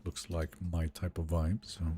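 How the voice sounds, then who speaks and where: A man with a Swedish accent, live-streaming a game and chatting with viewers in a relaxed, talkative way. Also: deep voice, a little slow, slight background noise. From Sweden. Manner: relaxed, chatty, answers chat questions.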